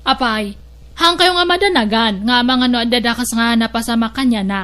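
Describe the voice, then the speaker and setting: This is a stern older sister-in-law, voiced by a woman in an audio drama. She has a bright, slightly high voice and a lecturing, no-nonsense delivery. She fires off pointed questions. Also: moderate pace, lightly acted. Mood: scolding.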